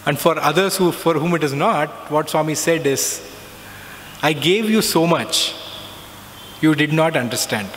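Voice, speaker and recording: A middle-aged man tells a reflective personal story, often pausing with "uh" and "so". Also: on the high side, a bit bright. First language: not Hindi. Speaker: alumnus giving a talk. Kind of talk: personal spiritual talk. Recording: long reverb, faint background noise.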